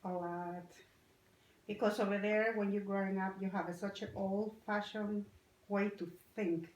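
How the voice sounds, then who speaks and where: A woman's voice: medium pitch, fairly even intonation, a little slow, a little mellow, a little hiss or hum. Salvadoran woman in her 60s, short plain answers, roomy echo. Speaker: interviewee. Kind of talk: casual family interview.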